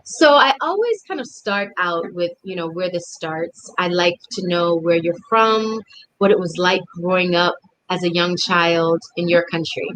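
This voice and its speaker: A woman's voice: on the low side, measured pace, fairly bright tone, poor audio. A woman hosting a live online interview series, reading the introduction with frequent fillers in a friendly way. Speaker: host.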